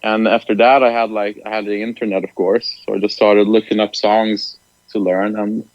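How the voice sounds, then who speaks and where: A man in his late twenties from Lithuania, a musician guest talking in a relaxed, soft-spoken way and laughing often. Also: on the low side, moderate pace, no echo, highs cut off. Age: late 20s. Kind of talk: casual interview over the phone.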